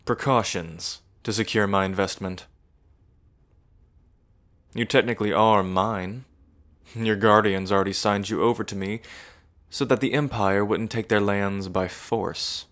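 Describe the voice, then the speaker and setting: A man's voice: on the low side, fairly bright tone. A man playing a smug, menacing evil prince in an ASMR roleplay, mocking and condescending, drawling like a theatrical villain. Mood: smug mockery.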